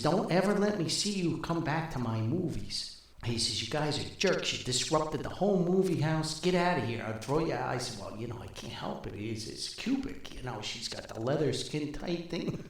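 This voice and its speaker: An older man hosting a podcast, telling humorous anecdotes in a folksy way and acting out the dialogue. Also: medium pitch. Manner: folksy, chuckles often, acts out dialogue. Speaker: podcast host. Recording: very roomy, echoing sound.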